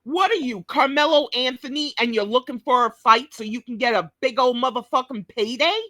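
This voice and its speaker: A woman's voice: high-pitched, a little fast, fairly bright tone, dry recording, clean studio sound. A woman giving blunt, scolding commentary on a clip, swearing heavily. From New York. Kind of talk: profane reaction commentary.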